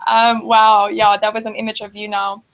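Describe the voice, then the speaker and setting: A woman's voice: medium pitch, completely flat delivery, moderate pace, fairly bright tone, poor audio. A woman hosting an interview channel, effusive and complimentary, piling on affirmations as she speaks. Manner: effusive and gushing, full of affirmations. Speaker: host. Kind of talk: warm spiritual interview hosting.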